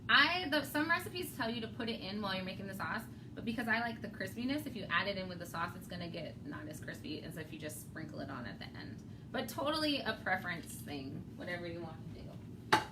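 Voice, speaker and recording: A woman's voice: mid-range voice, lively intonation, fast, noisy background. A woman hosting a casual cooking show, explaining each step and laughing often.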